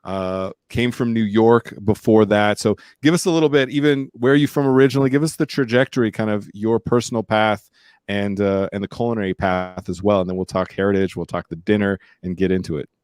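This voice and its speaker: A man's voice: on the low side, fairly quick, a little mellow, clean tone, no echo, clean studio sound. A man hosting a podcast interview, talking casually with energy and a shifting pace. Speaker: podcast host.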